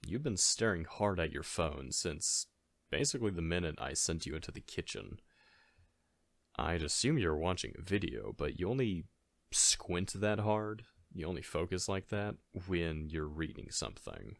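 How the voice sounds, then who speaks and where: Very deep, soft-spoken man acting as a caring best friend. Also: very animated, creaky, no room sound, full character voice. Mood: curious, musing. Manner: halting, conversational, gently chiding. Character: caring, goofy male best friend, gently mothering. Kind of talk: soft-spoken ASMR audio roleplay.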